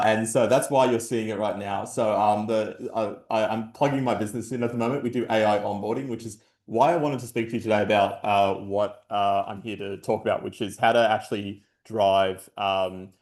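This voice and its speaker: A man with a loud voice gives a casual conference talk at a podium microphone, blunt and full of filler sounds and the odd swear. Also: on the low side. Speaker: conference speaker. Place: large conference hall. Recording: roomy hall echo.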